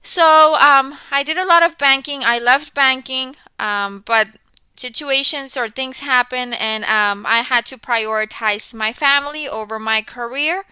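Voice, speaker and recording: A woman's voice: sits high, a little slow, bright, forceful tone, smooth, no room sound. A middle-aged woman from Texas, born in Mexico, speaking as a college instructor welcoming students in an enthusiastic, explanatory way. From born in Mexico, grew up in Texas, USA. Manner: enthusiastic, explanatory, often checking in with okay. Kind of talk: welcome announcement to students, semi-scripted talk.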